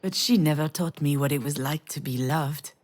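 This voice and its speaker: A woman's voice: low voice, normal speed, a little mellow. An actress playing a wistful, wounded woman parting from her ex, speaking in a reflective, intimate way in a naturalistic game performance. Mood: reflective, solemn. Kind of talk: naturalistic visual novel voice acting.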